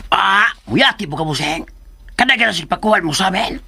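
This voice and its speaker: A man's voice: sits high, lively intonation, brisk, gravelly, sharp tone, breathy edge, full character voice. A man playing a cheeky, flustered servant in a comedic radio drama, whiny and pleading as he placates and teases. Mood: flustered, protesting.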